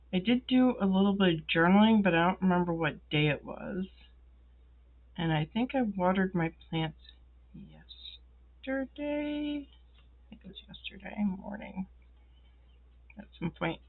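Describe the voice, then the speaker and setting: Slow-talking congested woman, tinny sound. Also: on the low side. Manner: slow, rambling, many ums and pauses. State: congested, feeling ill. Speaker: planner vlogger. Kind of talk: casual talk while filling in a planner. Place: indoors at a wooden desk.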